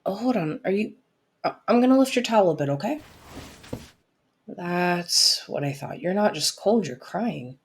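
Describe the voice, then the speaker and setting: A young woman playing a caring, protective best friend secretly in love, in soft, intimate roleplay audio, with a husky, breathy voice and a teasing, reassuring way of talking. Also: fairly low pitch, expressive, moderate pace. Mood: gentle concern. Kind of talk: soft-spoken roleplay audio acting addressed to listener.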